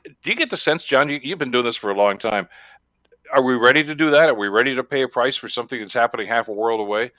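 Fast, bright-voiced Canadian radio host. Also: male voice, mid-range voice, expressive, no room sound. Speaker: radio talk show host. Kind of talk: conversational radio interviewing.

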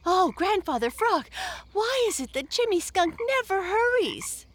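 A woman voicing playful little wind spirits who speak high, loud and breathless. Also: very animated, measured pace, exaggerated voice. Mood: excited.

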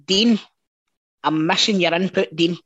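A man's voice: high-pitched, a little slow, a bit bright, dull audio, slight background noise. A man about to turn forty, co-hosting a podcast, blunt and sweary in his banter. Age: 40s. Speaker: podcast co-host. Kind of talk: casual chat.

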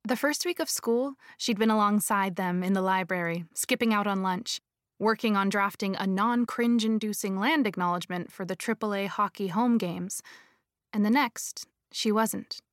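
A woman's voice: medium pitch, moderate pace, no room sound, studio quality. A young-sounding woman reading scripted dramatic audiobook narration with a driven delivery. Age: young adult. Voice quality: youthful-sounding.

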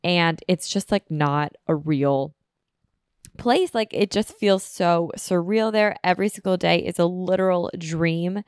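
A woman's voice: lowish voice, measured pace, smooth, dry recording, clean studio sound. A woman in her twenties hosting her own podcast alone, rambling casually with lots of fillers, a little sniffly from a cold. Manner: rambling, chatty, filler-heavy. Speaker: podcast host. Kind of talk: casual solo podcast chat.

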